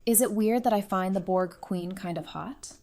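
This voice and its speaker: A woman's voice: medium pitch, normal speed, warm tone, faint music behind, natural voice. A woman as a playful, teasing young survivor.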